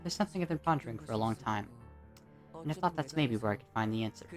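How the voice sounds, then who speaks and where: A calm and philosophical schoolboy as a narrator puts him on in a read-aloud story. He speaks with measured solemnity, mature beyond his years. Music sits behind the voice. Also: mid-range voice, lightly acted. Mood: earnest, musing.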